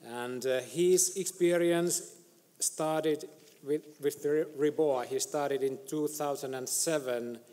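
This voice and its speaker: A middle-aged man chairing a medical conference session, introducing speakers with many hesitant pauses. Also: a little high, mellow. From Finland. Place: large conference hall stage. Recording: reverberant hall.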